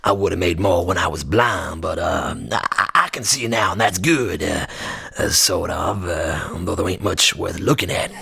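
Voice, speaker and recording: An actor playing a muddled, evasive beggar musician in a radio satire, with a raspy voice and a stammering, rambling delivery. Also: male voice, low-pitched, normal speed, pushed and bright, heavily acted. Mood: nervous, muddled. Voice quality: gravelly, raspy. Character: muddled, evasive beggar musician and addict, rough-edged and shifty. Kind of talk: dramatic radio satire acting.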